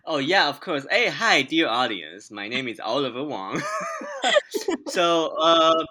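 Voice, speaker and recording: A man's voice: a little high, sing-song, measured pace, sharp tone. A man from Taiwan, a podcast guest who talks playfully and laughs often. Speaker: guest, film director and comedian. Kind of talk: casual podcast interview.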